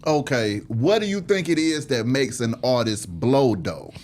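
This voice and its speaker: A man's voice: medium pitch, animated, measured pace, a little mellow. Casting: male host, mid-range voice, blunt slangy street-casual questioning with the odd swear. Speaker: interviewer, host. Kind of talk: casual conversational interview.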